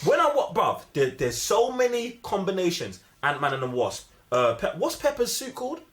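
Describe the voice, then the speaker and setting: A man's voice: high voice, huge pitch swings, moderate pace, a bit bright. A young man with a London accent chatting casually about films in heavy slang, opinionated and emphatic, often repeating himself for effect. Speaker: movie review podcast host.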